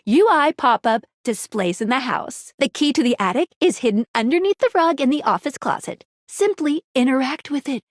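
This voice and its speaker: High, animated, perky tutorial guide. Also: female voice, moderate pace, few pauses, dry recording, clean studio sound. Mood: helpful, upbeat. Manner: chirpy, quippy, awkwardly stammering, eager to help. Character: perky, self-doubting talking dating-glasses tutorial guide, eager and friendly. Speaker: video game tutorial guide character. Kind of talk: animated video game voice acting.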